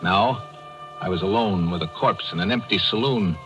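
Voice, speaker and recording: A man's voice: sits low, moderate pace, close to an everyday voice, poor audio. An actor playing a tough, hard-boiled American man in trouble, terse and slangy, in an old radio noir drama. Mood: grim, tense. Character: hard-boiled American driver framed for murder. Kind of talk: 1940s radio noir narration and acting. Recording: old radio broadcast with music.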